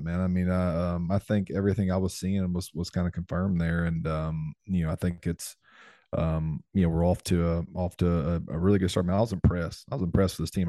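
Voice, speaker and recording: A man's voice: sits low, mellow, no echo, clean studio sound. A man in his thirties, a former football lineman, co-hosting a sports podcast in a laid-back, rambling way. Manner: laid-back, rambling, many fillers and restarts. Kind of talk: casual sports chat.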